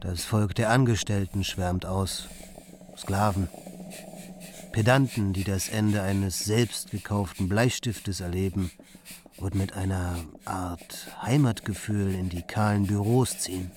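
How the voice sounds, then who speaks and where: An actor playing a sardonic, brooding commentator, reciting literary radio drama lines in a declamatory manner. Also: male voice, deep voice, steady tone, slow pace, darkish tone, slight background noise. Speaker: second narrator. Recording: prominent music behind the voice.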